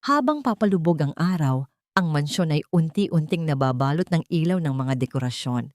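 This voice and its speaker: A woman's voice: low-pitched, darkish tone, dry recording, studio quality. A woman reading a scripted love drama as its narrator, in a dramatic storytelling style.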